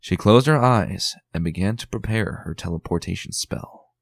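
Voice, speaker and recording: A man giving a dramatic, expressive reading of a fan story as narrator. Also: low-pitched, normal speed, creaky, very noisy. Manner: expressive, tense and theatrical. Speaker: fan reader.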